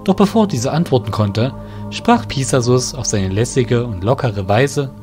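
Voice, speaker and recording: A man narrating an audio drama in an expressive storytelling way, over music. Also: mid-range voice, a little slow. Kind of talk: scripted narration.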